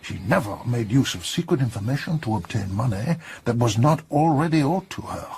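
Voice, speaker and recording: An actor playing a discreet, courteous French police detective, speaking formally and deliberately with a French accent in a radio drama. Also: male voice, mid-range voice, lively intonation, a little mellow, a little hiss or hum, close to an everyday voice. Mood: discreet, grave.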